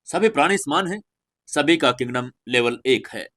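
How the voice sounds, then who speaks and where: A man's voice: on the high side, animated, normal speed, fairly bright tone. A man voicing a cold, menacing young warrior in an audio story, taunting and commanding as he declaims. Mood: solemn command.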